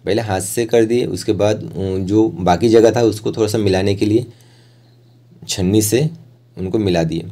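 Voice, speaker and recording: A man's voice: fairly low pitch, a little slow, warm tone. A man giving an informal, plain step-by-step art tutorial as a voice-over instructor.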